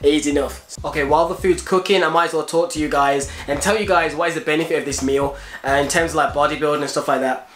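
A young British man with a slightly high voice talks casually to camera in a chatty, explanatory way. He is recorded in a very roomy kitchen with some noise behind him. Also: fairly even intonation, fast, a bit bright. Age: 20s. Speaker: fitness vlogger. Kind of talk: casual cooking and fitness talk to camera. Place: small home kitchen, indoors. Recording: roomy kitchen echo, clear background noise.

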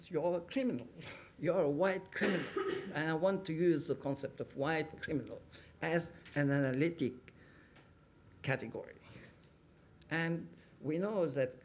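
A middle-aged man, an academic, speaking freely to an audience in a small room, animated and emphatic, with some ums. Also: on the high side, slight background noise. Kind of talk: public talk, speaking freely. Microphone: stand mic, filmed from the audience.

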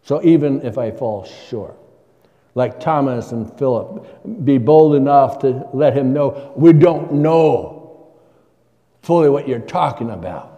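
Older pastor preaching slowly in a reverberant church. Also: male voice, mid-range voice, expressive, dark tone, highs cut off. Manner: conversational preaching with rhetorical questions. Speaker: guest preacher. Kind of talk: sermon. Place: church sanctuary at a pulpit.

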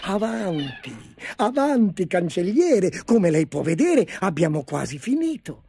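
A man voicing a smooth-talking swindler tailor who flatters slyly. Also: high-pitched, unhurried, pitched above the performer's own voice, heavily acted. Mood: flattering. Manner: sly, flattering.

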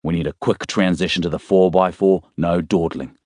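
A man voicing a steady military leader in an audiobook, speaking in a clipped, commanding way. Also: sits low, moderate pace, dry recording, clean studio sound, close to an everyday voice. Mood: brisk command. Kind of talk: audiobook character dialogue.

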